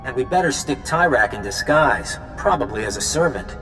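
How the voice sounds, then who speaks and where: An actor playing an earnest, practical resistance leader in a video game, firm and persuasive. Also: male voice, medium pitch, animated, normal speed, over music, lightly acted, poor audio.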